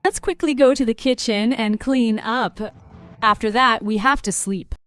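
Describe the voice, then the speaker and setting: A woman's voice: a little high, a little slow, a bit bright, soft background music. A woman playing a weary, aggrieved young daughter-in-law in a cartoon family story. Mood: resentful.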